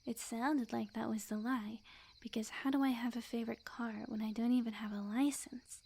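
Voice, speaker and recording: Girl acting as a clingy sweet yandere witch, soft mellow whispery asmr voice, kinda high, coy and slow, playfully creepy. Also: fairly even intonation. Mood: pleased, chatty. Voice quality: soft, breathy, often whispered. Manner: coy, unhurried, intimate sweet-talk.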